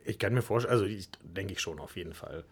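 Guy with lowish slightly rough voice, thinking out loud in a radio chat, kinda hesitant. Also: fast. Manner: hesitant, reflective, hedging phrasing. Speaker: interview guest, radio club leader. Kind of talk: unscripted conversational interview.

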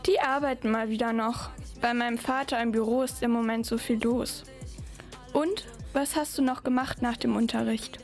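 A woman's voice: fairly high pitch, steady tone, moderate pace, soft background music, lightly acted. A girl as a chatty, friendly teenage boy. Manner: chatty, easy, reassuring. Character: chatty sixteen-year-old schoolboy, friendly. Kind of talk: school radio play acting.

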